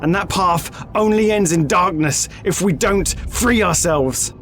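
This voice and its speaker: A man's voice: high voice, measured pace, fairly bright tone, faint music behind. A man playing a cynical, defiant veteran soldier in an audio drama, insistent and persuasive, pressing with pointed questions. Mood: bitter, insistent.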